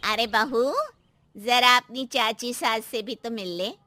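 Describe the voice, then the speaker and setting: A woman's voice: a little high, slow, bright, forceful tone, no room sound. A woman playing a nosy, critical older aunt-in-law in a cartoon family drama. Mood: reproachful.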